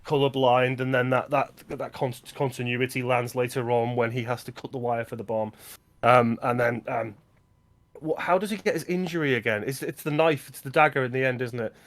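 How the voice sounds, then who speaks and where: A man's voice: medium pitch, fairly even intonation, brisk. Chatty man co-hosting a film podcast. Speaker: podcast co-host. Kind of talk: casual film discussion.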